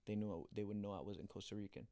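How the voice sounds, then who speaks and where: Low-pitched colombian guy, flat-ish voice, chatty and full of restarts, dry studio sound. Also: rapid-fire, dry recording. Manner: animated storyteller, acts out quotes, frequent restarts. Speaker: guest, former professional soccer player. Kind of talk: casual storytelling interview.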